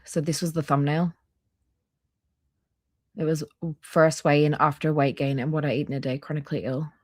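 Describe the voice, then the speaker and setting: A woman's voice: sits low, steady tone, normal speed, no echo, clean studio sound. A woman hosting a casual reaction livestream, reading aloud in an informal way with offhand asides. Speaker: livestream host.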